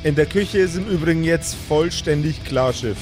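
A man acts as game master, narrating an improvised tabletop adventure. His voice sits a little higher than average for a man. Guitar music plays clearly behind him. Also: normal speed.

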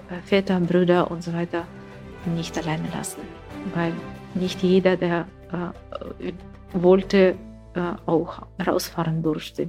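A woman's voice: on the low side, mellow. A middle-aged woman from Ukraine, a native Russian speaker working as a teacher, speaking in an interview with many hesitations.

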